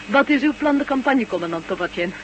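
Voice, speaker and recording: A woman's voice: a little high, lively intonation, fast talker, lightly acted. Over a noisy, humming old recording, an actress plays a female defector in a space radio drama. She is composed and serious, speaking formally and directly with a dry, commanding edge. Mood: dry, probing. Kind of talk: Dutch science fiction radio play acting.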